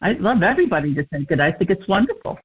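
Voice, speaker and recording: A woman's voice: lowish voice, sing-song, fast, slightly rough. A woman giving a cheerful, giggly customer testimonial. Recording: tinny phone line.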